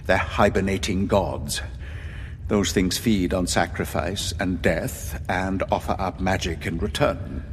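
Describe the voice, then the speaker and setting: Uneasy pragmatic official, hesitant, justifies himself, audio drama, echoey with a bit of noise. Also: male voice, low-pitched, measured pace. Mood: defensive. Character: uneasy, evasive male official, pragmatic and deferential. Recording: long reverb, faint background noise.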